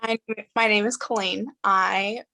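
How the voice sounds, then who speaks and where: A woman's voice: mid-range voice, fairly even intonation, measured pace, gravelly, bright, forceful tone, no echo. A woman of twenty introducing herself as a panel guest, a little apologetic. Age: 20s.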